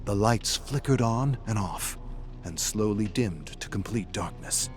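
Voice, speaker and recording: A man's voice: on the low side, lively intonation, unhurried, soft background music. A man reading scripted horror fiction as a narrator, in a dramatic, suspenseful style.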